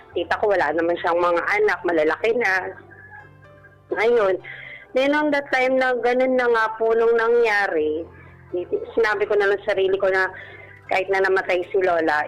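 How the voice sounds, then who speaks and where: A woman's voice: medium pitch, rough recording. A Filipino woman politely telling a personal story as a caller on a radio show. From Philippines. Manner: polite, deferential, using respectful particles. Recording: radio broadcast with music underneath. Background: background music.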